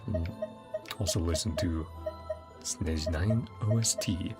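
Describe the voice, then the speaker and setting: A man's voice: low voice, slow pace. A man casually commenting on a video game he is playing, reading the game text aloud and chuckling now and then. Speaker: gaming streamer. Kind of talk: casual let's-play commentary. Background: game music.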